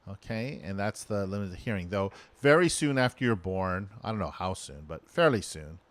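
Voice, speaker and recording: A man explaining sound basics in a relaxed recorded lesson, thinking aloud with fillers and restarts. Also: sits low, normal speed, no echo. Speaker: audio instructor. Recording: steady mains hum behind voice.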